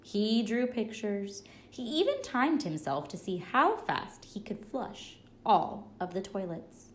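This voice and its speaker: A woman reading a children's picture book aloud in an expressive, measured way. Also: mid-range voice. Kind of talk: read-aloud storytelling.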